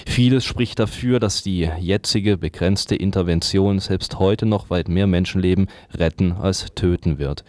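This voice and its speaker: Low-voiced man reading news commentary. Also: darkish tone, no echo. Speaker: radio reader of press articles. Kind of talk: reading newspaper commentary aloud.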